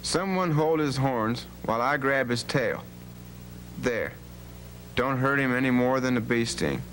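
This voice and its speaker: A man's voice: mid-range voice, lively intonation, measured pace, fairly bright tone, rough recording. A man playing a bold, plain-spoken legendary cowboy rancher in an educational radio drama, with a folksy, authoritative manner. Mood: confident, commanding. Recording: hum and faint background noise.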